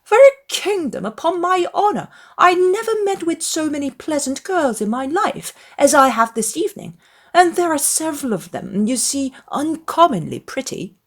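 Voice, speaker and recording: A woman voicing a cheerful, eager young gentleman in a dramatized audiobook, full of enthusiastic exclamations. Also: high voice, very animated, normal speed, pitched above the performer's own voice. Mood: delighted.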